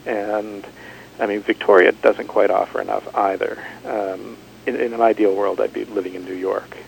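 Middle-aged man from Canada, gravelly low voice, halting restarts, faint background hiss. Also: flat delivery, rough recording. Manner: thoughtful, halting, many restarts. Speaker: author. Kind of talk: radio interview.

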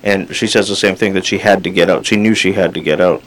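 A man's voice: deep voice, fairly even intonation, quick pace, a little hiss or hum. A man from Canada hosting a radio interview, asking conversational, probing questions.

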